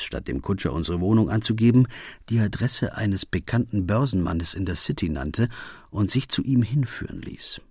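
Detective's loyal companion and narrator: husky, lowish, measured, dry studio recording. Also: male voice, darkish tone, no echo. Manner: measured, literary storytelling. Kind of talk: scripted radio play narration.

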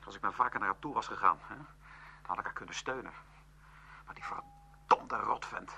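A man's voice: on the low side, moderate pace, vocal fry, pushed and bright, slight background noise, heavily acted. A man acting in a radio play as a grief-stricken young student, bitter and accusing. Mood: anguished. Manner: anguished, accusing. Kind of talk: radio drama dialogue.

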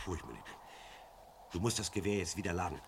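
A man's voice: low voice, expressive, fast, vocal fry, very noisy. An actor in a German radio crime drama playing a commanding husband who coaches his frightened wife with urgent, insistent, repeated instructions. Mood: soothing, pleading.

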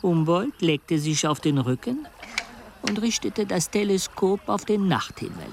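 A reflective traveller in a historical radio drama. He speaks slowly and politely, with a mobile, mid-pitched voice and clear ambient noise behind. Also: big pitch swings, full character voice. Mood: storytelling. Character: reflective, courteous, melancholy male travel companion telling his story. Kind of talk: audio drama dialogue and narration.

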